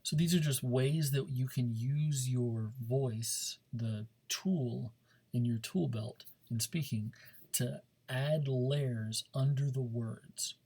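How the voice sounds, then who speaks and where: Emphatic male teacher with a husky voice. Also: medium pitch, takes it slowly, warm tone. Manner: emphatic, demonstrative, stresses and repeats key words. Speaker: speech class teacher. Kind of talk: informal recorded lesson, talking directly to students.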